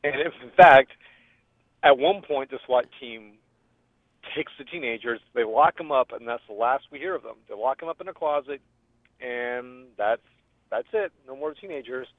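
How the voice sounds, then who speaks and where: A man's voice: medium pitch, animated, moderate pace, dry recording. A man leaving a casual spoken review as a caller over the telephone. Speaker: caller giving a film review. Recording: dull, dry, phone-line voicemail.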